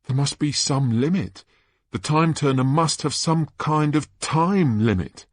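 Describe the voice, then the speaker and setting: A man's voice: on the high side, unhurried, dry recording, voice pushed up. A man voicing an anxious, concerned teenage schoolboy in a storybook reading, hesitant and urgent.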